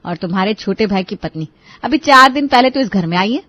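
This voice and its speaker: A woman voicing a scheming, bossy, sharp-tongued wife in a family cartoon, scolding and sarcastic. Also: medium pitch, expressive, brisk, no echo, dull audio, natural voice. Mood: irritated, sarcastic. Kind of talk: Hindi family cartoon voice acting.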